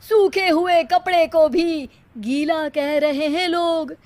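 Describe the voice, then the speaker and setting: An idle young cartoon husband voiced by a woman, theatrical and fond of comic couplets. The voice is high and the delivery drawn out and declamatory. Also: takes it slowly, darkish tone, no echo. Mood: complaining, theatrical. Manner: declaims rhyming couplets dramatically.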